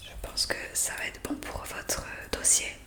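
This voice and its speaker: Whispering woman, bright and breathy. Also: measured pace. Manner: hesitant, improvised explaining with polite formal address. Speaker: ASMR roleplayer playing a zombie intake worker. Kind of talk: whispered ASMR roleplay. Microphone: close USB condenser microphone.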